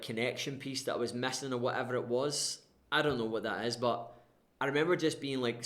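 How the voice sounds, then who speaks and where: A young man with a West Coast Scottish accent, candidly telling his own life story to the camera in a chatty, rambling way. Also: mid-range voice. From Scotland. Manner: candid and chatty, with frequent fillers and restarts. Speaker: coaching brand founder. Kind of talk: personal story told to camera.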